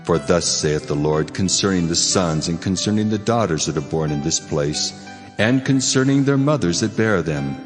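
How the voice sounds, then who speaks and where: Deep, reverberant, stern God. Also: male voice, expressive. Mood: solemn command. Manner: grave, measured, declamatory. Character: God, a stern, solemn, mighty male deity pronouncing judgment. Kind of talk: dramatized scripture reading. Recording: long cavernous reverb over music.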